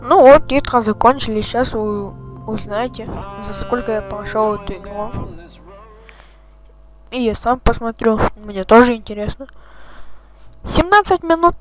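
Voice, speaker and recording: A man's voice: high-pitched, a little slow, long pauses, fairly bright tone, faint music behind, poor audio. A man hosting a gaming channel, chatting casually and swearing as he reacts to the game. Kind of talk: casual let's-play commentary.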